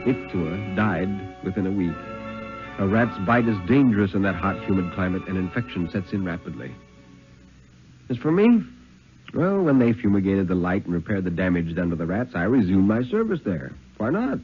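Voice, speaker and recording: A man playing a steely, haunted lighthouse keeper who recounts his ordeal with dramatic, measured urgency in a 1950s radio reading. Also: deep voice, big pitch swings, faint music behind. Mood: wry, reflective. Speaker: story reader. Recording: dull vintage radio recording.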